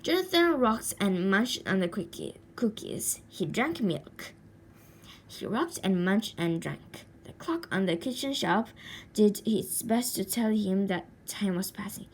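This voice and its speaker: A woman reading a children's story aloud expressively, with deliberate dramatic pauses and stretched words. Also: mid-range voice, big pitch swings. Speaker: storybook reader. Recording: faint background noise.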